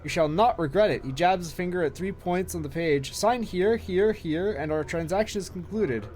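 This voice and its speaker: Male streamer, slightly hoarse, reading game text aloud, somewhat high voice, casual asides. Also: moderate pace, a little mellow, faint music behind. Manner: reads long text passages aloud with brief asides. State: slightly hoarse from long talking.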